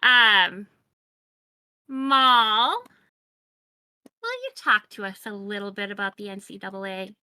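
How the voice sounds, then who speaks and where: A woman's voice: medium pitch, expressive, takes it slowly, pauses often, sharp tone, clean tone, no room sound, clean studio sound. A woman co-hosting a sports podcast, agreeing along and steering the talk to the next topic. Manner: agreeable, leads segments. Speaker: podcast co-host. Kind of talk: casual sports chat.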